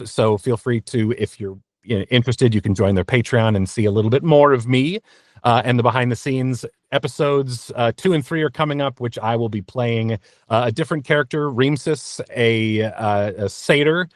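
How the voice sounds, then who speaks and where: A man's voice: medium pitch, dry recording, studio quality. A man talking casually and earnestly as an interview guest and voice actor, often interrupting himself as he goes.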